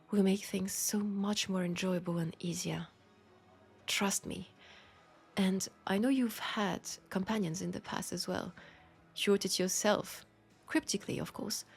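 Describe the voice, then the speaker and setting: Slow, seductive French-accented vampire woman, acted by a woman. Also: medium pitch, gravelly, husky. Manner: soft, intimate, deliberate, often slipping into whispers. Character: seductive, world-weary female vampire seeking a companion. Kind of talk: intimate scripted audio roleplay monologue.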